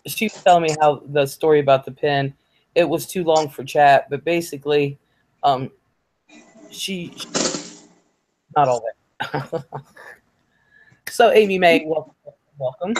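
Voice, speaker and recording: A woman's voice: deep voice, measured pace, pauses often, darkish tone, low-quality recording. A woman hosting a livestream in casual chat, chatty and laughing, with many fillers and free swearing. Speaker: livestream host.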